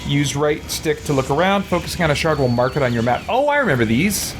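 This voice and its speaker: A man's voice: fairly high pitch, very animated, quick pace, background noise. A man hosting a casual let's play, thinking aloud and exclaiming as he goes. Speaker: gaming channel host.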